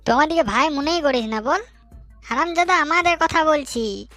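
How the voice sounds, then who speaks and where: Man voicing a very high bossy young cartoon friend. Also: normal speed, bright, forceful tone, no room sound, soft background music, heavily acted. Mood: annoyed. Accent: rural western West Bengal. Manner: colloquial, mocking, scolding. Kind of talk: comic cartoon dialogue.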